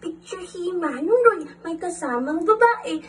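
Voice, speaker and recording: A woman's voice: very high-pitched, expressive, fairly bright tone, far from the mic, exaggerated voice. A woman voicing a curious, tearful little girl in a student radio drama. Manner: childlike, slow, whimpering.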